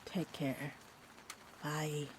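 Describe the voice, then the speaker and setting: A woman playing a sweet, shy female vampire in an intimate roleplay audio, rambling gently and hesitantly. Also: low voice, very slow. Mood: shy, hopeful. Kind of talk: roleplay audio, intimate one-sided chat. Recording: steady rain-like ambient noise. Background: rain ambience.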